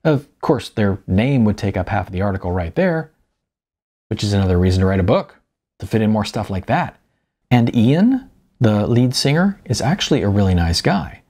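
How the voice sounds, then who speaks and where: Audiobook character: earnest young woman, pushy and eager, read by a man, husky with very lively pitch. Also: normal speed. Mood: bright, chatty. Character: earnest, persistent young woman, chatty then probing.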